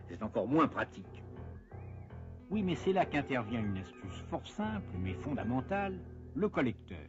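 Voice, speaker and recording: A man's voice: mid-range voice, a little mellow, muffled recording. A man narrating a scripted educational cartoon in a wry, conversational way, explaining step by step with playful asides.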